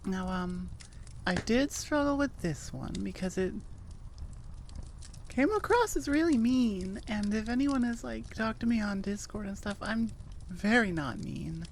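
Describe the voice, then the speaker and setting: A woman's voice: medium pitch, expressive, a little slow, slight background noise. A woman audio creator chatting informally to her listeners in a rambling, self-effacing way. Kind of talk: casual, chatty aside to listeners.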